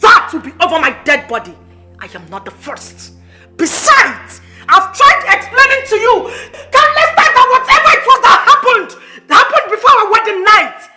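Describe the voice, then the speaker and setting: A defiant, fed-up Nigerian wife in a film melodrama. Her voice is very high and sharp, with big swings in pitch. She talks back with forceful emphasis. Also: huge pitch swings, moderate pace, soft background music, full character voice.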